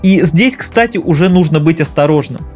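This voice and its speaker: Casting: man, fairly high voice, film critic reading a video essay with conversational asides, light music behind. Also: lively intonation, normal speed, darkish tone. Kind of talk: scripted commentary with conversational asides.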